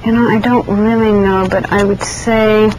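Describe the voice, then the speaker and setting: A woman who grew up in Iran, being interviewed and recalling her past in a reflective, hesitant way, with many pauses and restarts. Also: mid-range voice, flat delivery, a little mellow, slight background noise, poor audio. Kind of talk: oral history interview.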